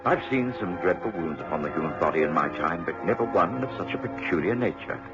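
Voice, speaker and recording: An actor playing a hearty, mild middle-aged English doctor, a detective's companion, in a radio drama. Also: male voice, deep voice, lively intonation, fast talker. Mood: grave narration. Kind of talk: radio drama acting and narration. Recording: dull old radio recording with music.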